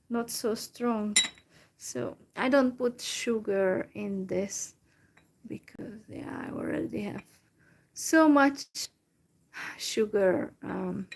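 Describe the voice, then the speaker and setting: A young woman from Central Europe chatting casually to her viewers in a halting way, with many fillers and restarts. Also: mid-range voice, gravelly, background noise. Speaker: vlogger. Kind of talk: casual solo chat to viewers.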